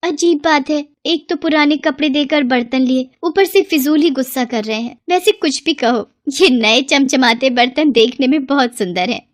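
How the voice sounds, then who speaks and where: A woman voicing a foolish, eager young housewife in an excitable, chirpy way for a cartoon moral story. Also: high voice, moderate pace, few pauses, no room sound, studio quality, close to an everyday voice. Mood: puzzled, huffy.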